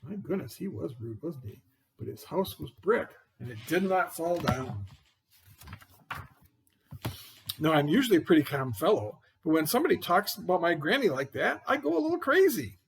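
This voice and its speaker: A man reading a children's picture book as an aggrieved, folksy wolf, expressive and incredulous. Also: fairly high pitch, a little fast, creaky, slight background noise. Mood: indignant. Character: aggrieved, folksy wolf pleading his innocence.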